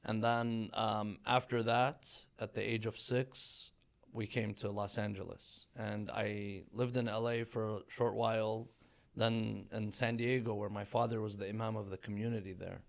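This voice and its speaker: Gravelly low-voiced man telling his story. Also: flat delivery. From Iran. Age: 30s. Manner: measured, thoughtful storytelling with fillers. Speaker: religious scholar guest. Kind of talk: conversational interview.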